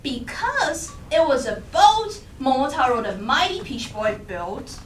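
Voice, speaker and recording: A woman's voice: high-pitched, sing-song, a little slow, slight background noise. A woman narrating a comic puppet-show story to a live audience in a playful, theatrical way. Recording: echoey room, distant mic, loud music.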